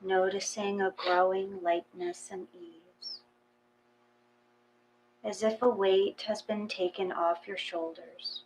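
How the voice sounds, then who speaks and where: A woman leads a gentle guided meditation at a very slow pace. Her voice sits at an average pitch, and she reads calmly in short phrases with long pauses. A clear background noise with a hum runs under the voice. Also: poor audio.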